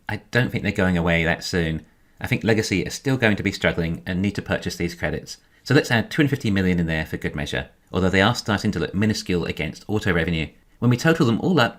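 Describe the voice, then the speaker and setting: A man giving a scripted financial commentary in a measured, explanatory way. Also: low voice, fairly bright tone. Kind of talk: scripted narration, financial analysis.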